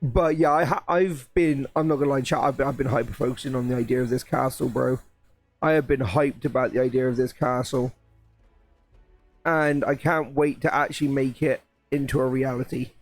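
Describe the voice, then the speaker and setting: A man chatting casually on a live stream, his voice a little croaky, rambling with restarts and sounding excited about his plans. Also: mid-range voice, moderate pace, no echo, faint music behind. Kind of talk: casual live-stream chat while building.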